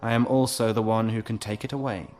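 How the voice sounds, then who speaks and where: A man acts out a brilliant, self-satisfied inventor who will not be rattled by his captors. His low voice drawls with suave, measured condescension. It is science fiction radio play acting, with faint music underneath. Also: steady tone, darkish tone, lightly acted. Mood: smug, commanding. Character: smug, unflappable male genius inventor held prisoner.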